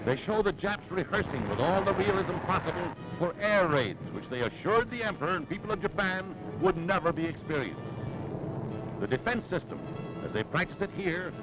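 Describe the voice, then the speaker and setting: Animated, high-pitched 1940s American newsreel man. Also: normal speed, low-quality recording. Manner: dramatic, urgent, emphatic delivery. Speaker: newsreel narrator. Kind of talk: 1940s newsreel narration, scripted. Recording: vintage 1940s newsreel soundtrack with music. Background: loud dramatic music.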